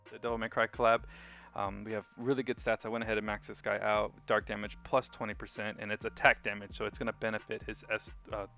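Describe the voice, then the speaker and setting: Low-voiced gravelly man reviewing a game. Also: bright, forceful tone. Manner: rambling, thinking aloud. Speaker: gaming video host. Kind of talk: casual unscripted game review commentary.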